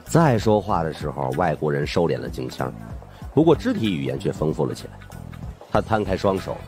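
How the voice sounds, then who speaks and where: A deep-voiced man tells a suspense story in the first person. His delivery swings widely and dramatically, with music playing underneath. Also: sing-song, brisk, a little mellow. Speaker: audiobook narrator.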